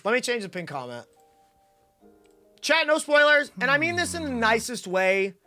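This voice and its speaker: A young American woman gives casual livestream commentary on a game. Her voice is bright and forceful, with animated swings in intonation and a playful, slangy delivery. Faint game music runs underneath. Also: on the high side, normal speed. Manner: playful, slangy, reacting to chat. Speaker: game streamer.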